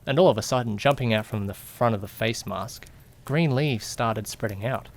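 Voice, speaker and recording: A man's voice: mid-range voice, moderate pace, creaky, faint music behind, close to an everyday voice. A man playing a curious, jittery young detective's companion who narrates a mystery audio drama with suspense and questioning asides. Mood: suspenseful, curious. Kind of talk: amateur audio drama narration in first person.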